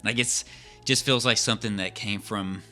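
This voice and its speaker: A man's voice: lowish voice, steady tone, moderate pace, pushed and bright. A man co-hosting a podcast, chatting casually in a rambling, repetitive, informal way. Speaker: podcast co-host. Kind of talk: casual chat about old radio horror. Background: faint music.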